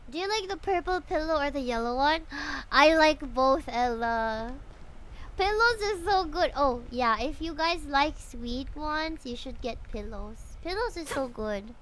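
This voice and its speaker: A woman's voice: high-pitched, expressive, unhurried, fairly bright tone, poor audio. A woman chatting casually and playfully with viewers on a game livestream. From Philippines. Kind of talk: casual game-stream chat and reading dialogue aloud.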